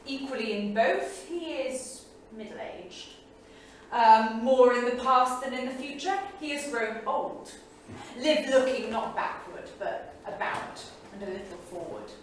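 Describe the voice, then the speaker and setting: An actress playing a thoughtful, observant young artist wife in a staged reading, measured and literary, with dry wit. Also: female voice, a little high, expressive. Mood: musing. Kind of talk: staged reading of journal entries, theatrical. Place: small studio theatre, live staged reading. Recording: very echoey theatre, distant mic, faint noise.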